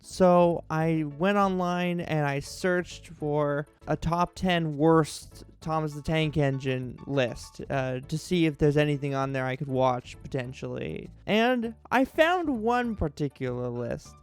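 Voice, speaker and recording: High-pitched chatty male video host. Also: takes it slowly, few pauses, clear, resonant tone, soft background music. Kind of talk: casual chatty commentary to viewers.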